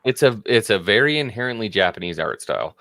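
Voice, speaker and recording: A man's voice: on the low side, fast, dry recording, studio quality. A man in his late twenties co-hosting a podcast, chatting casually and acting out quotes as he tells a story. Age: 20s. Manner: animated storyteller, acts out quotes. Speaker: podcast co-host.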